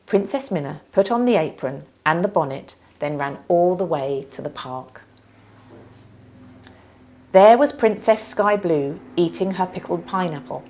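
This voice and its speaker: A woman reading a children's storybook aloud as narrator, with dramatic, deliberate emphasis. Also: fairly low pitch, a little mellow.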